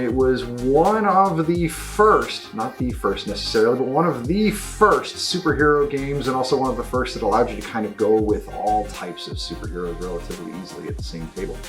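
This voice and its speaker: A middle-aged man giving a casual, enthusiastic and discursive review of new tabletop games as a store presenter. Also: lowish voice. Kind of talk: casual product review talk to camera.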